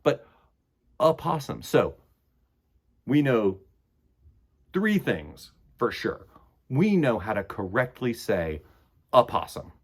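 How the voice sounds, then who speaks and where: Guy doing kids storytime, kinda husky voice, super animated and theatrical. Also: mid-range voice, slow pace, pauses often. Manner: theatrical, emphatic, swings between loud bursts and hushed asides. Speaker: host of a children's storytime. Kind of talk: animated read-aloud and chat to children.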